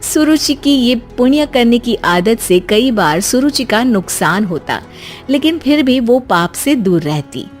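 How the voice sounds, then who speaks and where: Woman, narrator, very high pitch, scripted storybook storytelling. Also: expressive, measured pace, soft background music.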